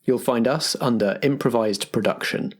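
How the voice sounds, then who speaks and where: A man with a husky edge to his voice serves as host and game master. He speaks at a middle pitch, presenting announcements and setting scenes for the players. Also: normal speed. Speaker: host and game master of an improvised roleplay podcast. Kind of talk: scripted announcements and game narration.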